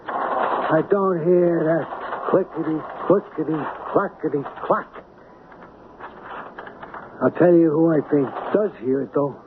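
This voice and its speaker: An actor playing a brash, slangy American hustler turned repentant millionaire in a 1970s radio drama. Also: male voice, on the high side. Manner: slangy, emphatic. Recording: tinny, hissy old radio broadcast.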